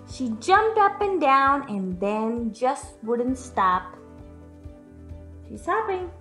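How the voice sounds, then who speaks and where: A young woman reading a picture book aloud to young children in an expressive, teacherly way, pausing to put questions to them. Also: high voice, vocal fry. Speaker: children's storyteller. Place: room at home, indoors. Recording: echoey room, music in the background.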